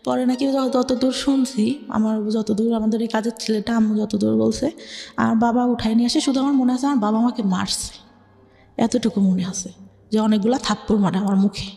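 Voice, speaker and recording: A young woman with a Bangladeshi Bengali accent speaks in a soft, mellow voice, and her pitch sits fairly high. Her telling is hesitant and full of filler words. The room rings with a long echo, and gentle music plays underneath. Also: fairly even intonation, normal speed, vocal fry. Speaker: interview guest. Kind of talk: personal life story in conversation.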